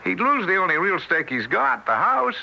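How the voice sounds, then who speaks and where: A man's voice: sits high, wildly swooping pitch, moderate pace, gravelly, bright, forceful tone, lo-fi sound, exaggerated voice. An actor playing a timid, fretful ghost of an old father, hesitant and stammering, in an old-time radio drama. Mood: anxious.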